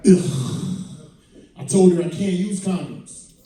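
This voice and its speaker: A man's voice: high voice, slow, mellow. A man in his mid-forties performing stand-up comedy to an audience, with profane, conversational storytelling. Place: indoor comedy venue. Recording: very echoey live room, distant mic.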